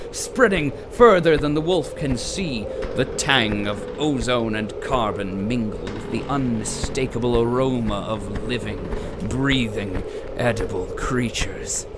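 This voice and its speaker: A man's voice: medium pitch, unhurried, lightly acted. A man performing as a solemn, portentous narrator of an audio drama, telling the tale in a dramatic, ornate way. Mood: solemn, suspenseful. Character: solemn storyteller of a frozen dystopia. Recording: loud ambient noise behind.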